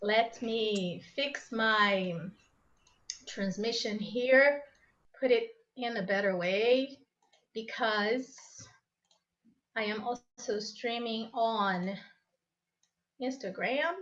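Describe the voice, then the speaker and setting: A woman's voice: on the high side, very slow, long pauses, a bit bright. A woman from Brazil who is not a native English speaker, an English teacher hosting a live-streamed exam lesson and chatting directly with viewers. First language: Portuguese. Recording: slightly dull live-stream audio.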